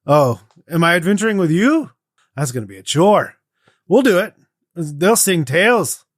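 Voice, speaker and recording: Guy doing full of himself paladin for a tabletop game, pompous, boasty, highish male voice. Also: very animated, moderate pace, no room sound, clean studio sound. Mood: pompous, boastful. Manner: pompous, self-important.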